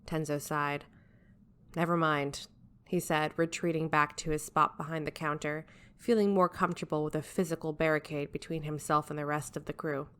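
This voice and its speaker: A woman's voice: low voice, flat delivery, brisk, hardly any pauses, a bit bright, clear, resonant tone. A woman reading scripted fiction as an audiobook narrator.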